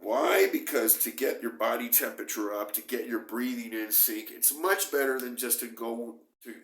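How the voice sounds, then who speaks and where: A middle-aged man with a hoarse voice from a cold talks straight into a camera held close to his face. He speaks at a medium pitch, repeating himself for emphasis and breaking into animated bursts. The small indoor room gives his voice a roomy echo. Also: moderate pace. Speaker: bodybuilding vlogger. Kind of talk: casual talk to camera. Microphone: camera close at face, moving.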